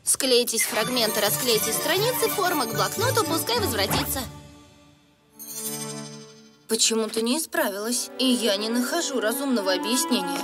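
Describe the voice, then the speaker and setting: High-voiced, pedantic little cartoon fairy. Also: female voice, sing-song, moderate pace. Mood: stern, puzzled. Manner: precise, bookish, lecturing. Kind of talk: animated cartoon acting. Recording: loud music under the voice.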